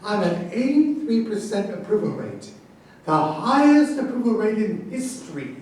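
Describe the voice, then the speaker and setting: High-pitched blustering president acted by a man, very echoey. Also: big pitch swings, normal speed, a little mellow. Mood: boastful, defensive. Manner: emphatic, boastful, dismissive. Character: blustering, defensive male president proud of absurd ideas. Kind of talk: staged reading of a satirical play. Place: echoey room, far from the microphone. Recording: very echoey, distant, noisy hall recording.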